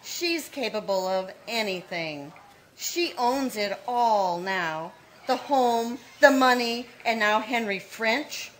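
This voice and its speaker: A cold, scheming older sister in a 1940s-style radio mystery, played by a woman on stage. She talks slowly and deliberately, each word sharpened with sarcasm. Her bright voice swings widely in pitch as she needles her sister. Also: animated, slight background noise, low-quality recording. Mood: coaxing. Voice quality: cutting, sarcastic, slow and deliberate. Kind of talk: live old-time radio drama.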